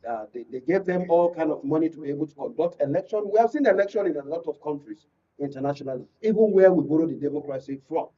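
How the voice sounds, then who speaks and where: A husky Nigerian man with a slightly high, mellow voice speaks as a guest on a political panel. He is deliberate and emphatic, repeating himself for weight. The sound is dull and picked up from a distance. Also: animated. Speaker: panel guest from the creative industry. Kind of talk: political discussion on a radio and TV panel. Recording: muffled, distant-mic, lo-fi studio pickup.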